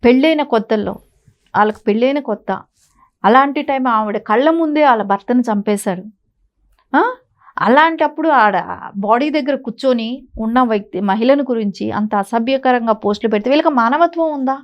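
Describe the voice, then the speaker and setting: High-voiced, emphatic woman commentator. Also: moderate pace, muffled recording. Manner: emphatic, insistent, with rhetorical questions. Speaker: political commentator on a news talk show. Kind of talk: opinionated news discussion.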